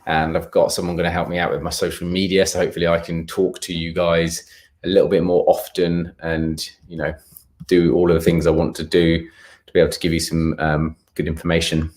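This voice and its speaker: Low-voiced English man chatting casually. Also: completely flat delivery, brisk, darkish tone. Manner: hums filler sounds while scanning for comments. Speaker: travel content creator and live stream host. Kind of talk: casual live Q&A chat, reading out viewer questions.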